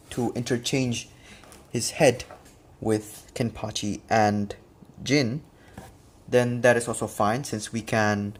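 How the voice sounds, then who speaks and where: Guy explaining his custom figure, chill and a bit rambly, faint noise behind him. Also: medium pitch. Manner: relaxed, explains step by step with fillers. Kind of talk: casual product walkthrough.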